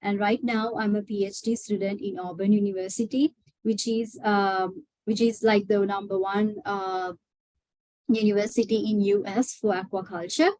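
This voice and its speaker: A woman's voice: medium pitch, flat delivery, normal speed, darkish tone, no echo, poor audio. A young woman from Sri Lanka, a PhD student, giving a webinar talk with frequent hesitations and fillers. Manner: hesitant, many filler sounds. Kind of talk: webinar presentation.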